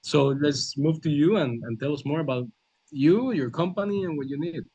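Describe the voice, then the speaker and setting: A man's voice: on the high side, normal speed, darkish tone, slightly rough, dry recording. Easygoing male webinar host, muffled audio. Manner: easygoing, loose phrasing. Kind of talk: moderating a business webinar. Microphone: video call. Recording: dull, muffled video call audio.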